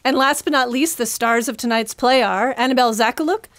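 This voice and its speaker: A woman's voice: fairly high pitch, fairly quick. A woman host reading scripted closing announcements at a live show in a bookstore. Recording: noisy live recording in a bookstore.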